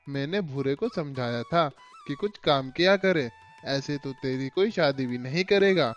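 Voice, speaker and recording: A man's voice: a little high, lo-fi sound, natural voice. A man voicing a timid, henpecked elderly owl uncle in a children's cartoon, avuncular and fretful. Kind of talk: Hindi cartoon voice acting for children.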